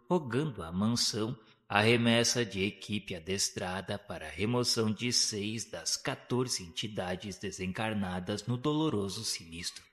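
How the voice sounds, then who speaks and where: A Brazilian man delivers formal, dramatic narration for a radio novel. His voice sits on the low side. Long hall reverb trails behind his literary, solemn phrasing. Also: fairly bright tone.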